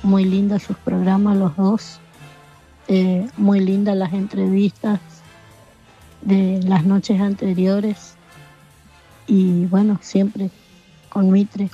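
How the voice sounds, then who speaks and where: A woman's voice: mid-range voice, breathy edge, muffled recording, soft background music. An Argentine woman from Corrientes calling in to a radio show, speaking politely. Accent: Argentine Spanish. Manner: slow, polite, even. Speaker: caller.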